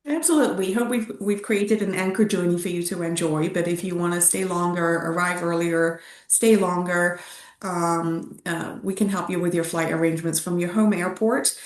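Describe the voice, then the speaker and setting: A woman's voice: lowish voice, steady tone, moderate pace, echoey room, far from the mic. A woman hosting a travel webinar, upbeat and affirming, laughing often. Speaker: travel company host. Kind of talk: casual webinar chat.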